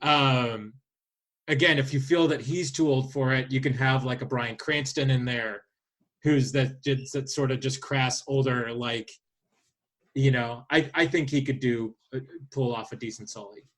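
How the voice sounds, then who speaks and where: A man co-hosting a casual podcast, speaking in a halting, hesitant way. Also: mid-range voice, fairly bright tone. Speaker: podcast co-host.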